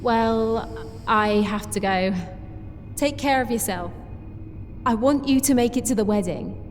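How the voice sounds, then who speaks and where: A woman's voice: fairly high pitch, unhurried, in a large hall, faint music behind, lightly acted. Woman doing an eager young female sergeant. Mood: heartfelt. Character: cheerful female soldier, newly a sergeant.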